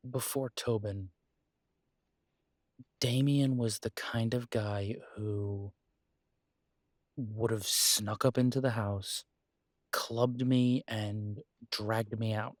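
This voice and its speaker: A man plays a protective male werewolf talking intimately to his partner in an audio roleplay. His voice sits fairly low and moves very slowly, with earnest, halting pauses. The sound is close and dry, with no room around it. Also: fairly even intonation, no room sound. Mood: serious, thoughtful.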